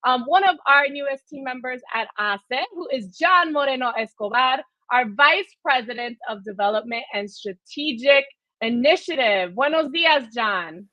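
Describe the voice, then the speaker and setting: A woman with a high voice hosts a livestream in a casual, upbeat way. She mixes Spanish phrases into English as she engages her online audience. The sound is dry and somewhat dull, like lo-fi call audio. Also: expressive, moderate pace, no echo. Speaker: host of a livestream chat show.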